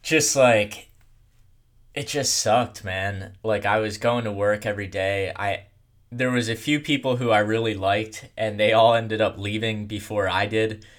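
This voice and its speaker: A young man telling his own story in a casual, rambling way, with a monotone delivery and lots of fillers. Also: on the low side, measured pace. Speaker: content creator.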